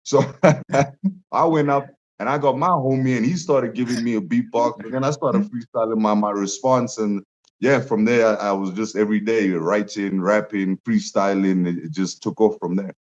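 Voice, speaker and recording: A man's voice: fairly low pitch, steady tone, moderate pace. A young man, a musician guest, telling stories animatedly in a casual interview, laughing often and jumping his voice up for quotes. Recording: dull lo-fi sound.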